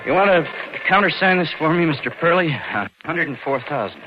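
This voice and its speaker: An American actor playing a scheming, slangy bank clerk in a hard-boiled 1940s radio crime drama, both speaking and narrating. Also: male voice, on the high side, animated, fairly quick, background noise, lightly acted. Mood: guarded, businesslike. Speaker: lead actor and first-person narrator. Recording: dull vintage 1940s radio recording with music.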